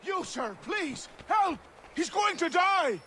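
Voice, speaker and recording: An actor playing an excitable, cheeky lumberjack in a video game, blunt and animated. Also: male voice, very high voice, slow, noisy background, heavily acted. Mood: panicked. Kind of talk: video game cutscene acting. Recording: music behind the voice.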